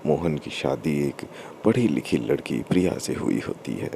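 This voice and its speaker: A man narrating a scripted story as a storyteller, in halting short phrases. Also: a bass voice, lively intonation, vocal fry, dark tone, a little hiss or hum.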